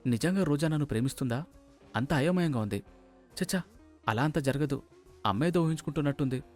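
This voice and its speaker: A man plays an earnest young husband-to-be in a storybook drama. His voice sits fairly high, with music faintly behind it. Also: expressive, fairly quick, darkish tone, lightly acted. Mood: bewildered. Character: earnest young man, son about to marry.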